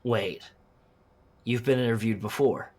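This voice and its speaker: A man acts as an anxious agent in an audio drama. His voice sits at a medium pitch, with slow, halting delivery. He sounds flustered and underprepared, muttering his way through a formal interview. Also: darkish tone, close to an everyday voice. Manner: hesitant, flustered, muttering, throat-clearing. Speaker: field interviewer. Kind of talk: scripted audio drama dialogue.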